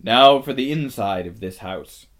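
A great storybook lion, acted by a male narrator, loud and hearty. He gives commands with kindly authority. The delivery is bold and rousing. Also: mid-range voice, expressive, a little slow, lightly acted. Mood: brisk, commanding.

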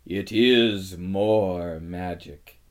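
A storybook narrator voicing a great male lion, wise, solemn and gentle. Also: low-pitched, extremely slow. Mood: solemn, majestic.

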